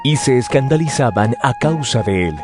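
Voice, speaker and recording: A man narrating scripture as a dramatized story, with expressive storytelling delivery. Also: mid-range voice, moderate pace. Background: music.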